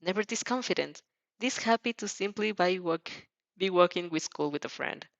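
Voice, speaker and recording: A woman's voice: fairly low pitch, expressive, normal speed, creaky, no echo. Woman narrating fan fiction, dry dull recording. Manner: stumbles and rereads phrases. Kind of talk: expressive amateur audio story narration.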